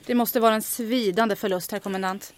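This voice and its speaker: A woman's voice: mid-range voice, steady tone, natural voice. A woman playing a cool, daring aviator heroine. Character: cool, daring woman pilot and resistance agent.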